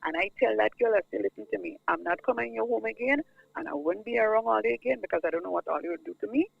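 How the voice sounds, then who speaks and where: A woman's voice: mid-range voice, racing, no echo. Insistent woman caller on a phone line. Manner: insistent, talks over the host. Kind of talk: call-in radio talk. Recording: dry, gravelly phone line.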